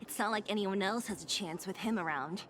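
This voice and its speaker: A woman's voice: medium pitch, a little fast, close to an everyday voice. A woman voicing a blunt, restless young woman in a game, talking in a weary, grumbling way. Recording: game audio with steady background noise.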